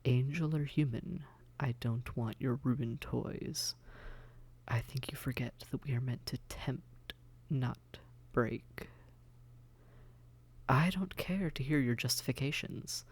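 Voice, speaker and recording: Man playing a suave, gravelly demon prince. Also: mid-range voice. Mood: cold anger. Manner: measured, refined, condescending. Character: suave, haughty male demon prince, patient seductive tempter. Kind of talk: scripted roleplay audio drama, addressed to listener.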